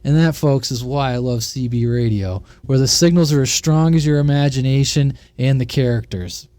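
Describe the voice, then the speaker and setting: A man's voice: mid-range voice, moderate pace, mellow, no room sound. A man narrating a scripted comic short story in a folksy, playful way. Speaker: narrator of CB radio short stories.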